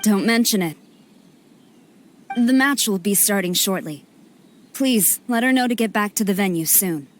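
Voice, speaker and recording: An actress playing a stern, formal female general in a game cutscene, speaking in a clipped, measured way. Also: medium pitch, lively intonation, a little hiss or hum, lightly acted.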